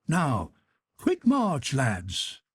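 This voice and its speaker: A man's voice: medium pitch, wildly swooping pitch, drawn-out words, a bit bright, husky, dry recording, studio quality, full character voice. A man reading aloud voices an impulsive young nobleman, eager and theatrical.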